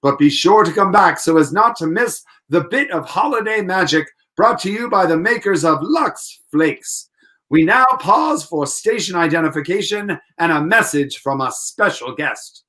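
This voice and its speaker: A man's voice: sits high, lively intonation, normal speed, pushed and bright. A man playing a courteous, polished 1940s radio announcer who delivers sponsor plugs in a formal, salesmanlike way. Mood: polished, upbeat.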